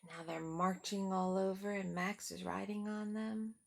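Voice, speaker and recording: Female children's storyteller, unhurried, with a creaky edge, simple child-directed asides. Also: mid-range voice, fairly even intonation. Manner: simple, child-directed talk with rhetorical questions. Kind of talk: read-aloud picture book with asides to young children.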